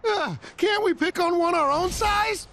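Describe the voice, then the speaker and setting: An actor playing a jittery, cautious young superhero who talks in anxious exclamations. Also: male voice, very high voice, measured pace, vocal fry, sharp tone, a little hiss or hum, full character voice. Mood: alarmed.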